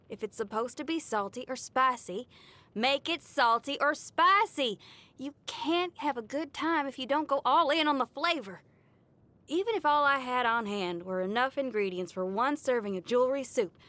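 A woman's voice: on the high side, bright, forceful tone. A woman voicing a brash young female rock guitarist in a game, cocky and upbeat. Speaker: game character. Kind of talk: game voice lines.

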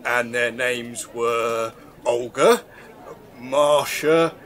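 An actor playing a weary, grumbling but dutiful manservant, laboured and muttering, in an audio drama. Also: male voice, medium pitch, very slow, a bit bright.

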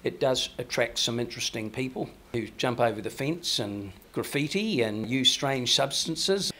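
Plain-spoken New Zealand man, mid-pitched. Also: animated, normal speed, sharp tone, a little hiss or hum. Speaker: local resident being interviewed. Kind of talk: casual interview.

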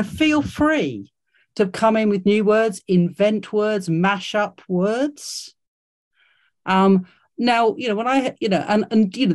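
A woman's voice: on the low side, darkish tone. Woman, workshop host, encouraging, restarts often, video call mic. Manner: encouraging, many fillers and restarts. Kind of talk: online workshop presentation.

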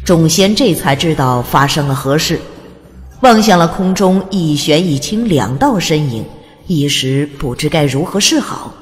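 A woman's voice: low-pitched, animated, measured pace, soft background music. A woman reading a fantasy audiobook as narrator, in a dramatic scripted storytelling style, with reverb on her voice.

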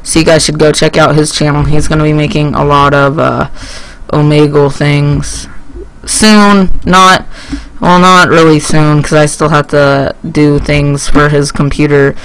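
Teenage male gamer, rambling and higher-pitched. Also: level tone, measured pace, fairly bright tone, slight background noise, low-quality recording. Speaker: gaming YouTuber. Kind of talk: casual gameplay commentary.

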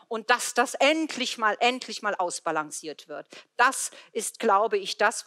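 A middle-aged woman speaking emphatically and deliberately as a moderator on an indoor stage, wearing a headset microphone. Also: medium pitch, bright, forceful tone. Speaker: moderator of a panel talk. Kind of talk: stage presentation and panel moderation.

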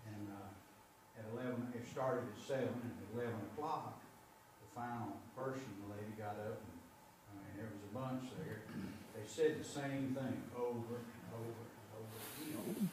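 A man's voice: mid-range voice, moderate pace. A man chairing a school board meeting, telling rambling stories and calling on members. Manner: rambling anecdotal storyteller. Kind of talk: meeting discussion. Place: large meeting room. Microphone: far camera. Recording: echoey room from far off, background noise.

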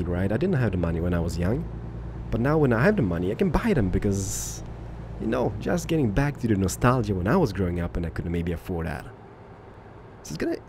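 A rambling man chats loosely over steady engine rumble, his low voice rising and falling in lively swings. He answers viewer questions between gameplay, full of fillers and restarts. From Poland, raised in Sweden. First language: Swedish. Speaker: gaming YouTuber. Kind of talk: casual gameplay commentary with viewer Q&A. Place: home office. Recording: game engine noise under voice.

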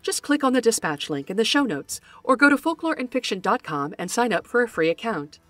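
A woman hosting a folklore podcast, reading a scripted introduction in a bright, eager way with playful asides. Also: on the high side, big pitch swings, fairly quick, no echo.

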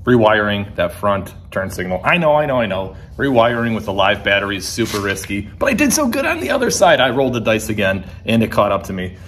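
A man's voice: lowish voice, very animated, quick pace, hardly any pauses, a bit bright, slight background noise. Joking man filming himself in his garage. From Ohio. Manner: joking, self-mocking asides to camera. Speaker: vlogger. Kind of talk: casual self-filmed vlog. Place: home garage and parked car. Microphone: handheld camera at arm's length.

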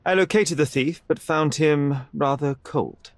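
An actor playing a young detective in a video game, measured, dry and probing. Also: male voice, a little high, no echo. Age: young adult. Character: cool, wry young male detective. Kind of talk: video game cutscene acting.

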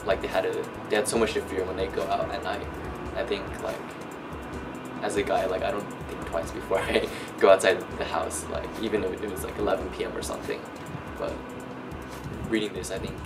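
A man's voice: sits low, flat delivery, fairly quick, recorded from a distance, over loud music, low-quality recording. A teenage student boy reacting casually in an interview. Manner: casual, filler-heavy.

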